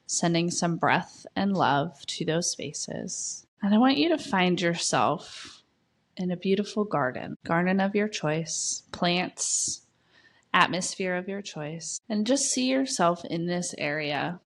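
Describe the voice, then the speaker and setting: A woman leading a guided meditation, giving gentle, soft instructions with long pauses between phrases. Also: fairly low pitch, animated.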